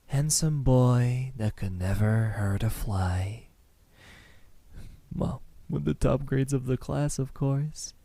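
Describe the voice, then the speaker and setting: A young man playing a menacing student kidnapper in an ASMR roleplay, calm and smug, speaking softly and deliberately into a close microphone. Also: mid-range voice, no echo. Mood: condescending menace. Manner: soft, deliberate, breathy sighs, dropping into whispers.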